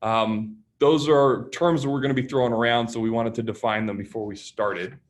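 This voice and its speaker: A man hosts a software webinar in a plain, mid-range voice. He explains terms in a relaxed way, with frequent small fillers, over a simple web-call mic. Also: medium pitch, normal speed. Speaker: solutions engineer and webinar host. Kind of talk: webinar presentation.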